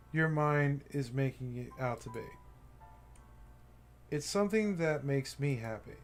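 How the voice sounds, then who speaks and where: A man streaming a visual novel, reading its lines aloud with stumbles and quick reactions. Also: medium pitch, darkish tone. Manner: reads haltingly with stumbles and reactions. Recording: faint game music behind.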